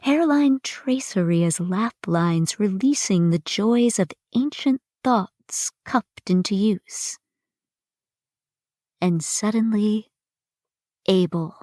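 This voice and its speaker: A woman giving an expressive poetry reading, deliberate and pausing between phrases. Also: mid-range voice, no echo, studio quality. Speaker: reader of poetry. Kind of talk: dramatic poetry recitation.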